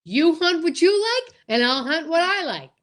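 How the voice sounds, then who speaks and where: A high-pitched, cheeky comic stooge from an old radio comedy , played by a woman. He is dim and eager, swinging up and down as he spins tall tales toward silly punchlines. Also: very animated, normal speed. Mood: boastful.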